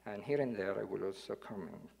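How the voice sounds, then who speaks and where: A man's voice: on the low side, animated, a little fast, vocal fry, darkish tone, slightly rough. A man from Italy with an Italian accent, giving an academic lecture in a hall, hesitant with fillers when speaking freely and reading parts of it aloud. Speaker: guest lecturer.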